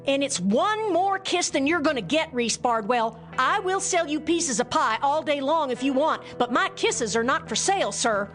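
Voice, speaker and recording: A woman's voice: high-pitched, expressive, normal speed, few pauses, a bit bright, soft background music. An actress playing a feisty frontier café woman in her thirties, firm and indignant, with an American accent. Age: 30s. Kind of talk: dramatized Western audiobook acting.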